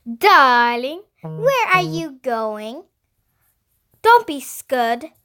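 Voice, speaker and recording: A woman's voice: sits high, big pitch swings, drawn-out words, a bit bright, exaggerated voice. A woman voicing a bossy six-year-old girl who plays a gushing salon stylist in an exaggerated fake French accent, audiobook style. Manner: gushing, theatrical, commanding. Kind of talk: audiobook character voice.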